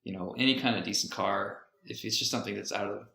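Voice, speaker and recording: A man co-hosting a podcast, telling stories casually in an animated, jokey, sometimes profane way. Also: fairly low pitch, fast talker, gravelly. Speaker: podcast co-host. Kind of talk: casual conversational storytelling. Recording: roomy echo.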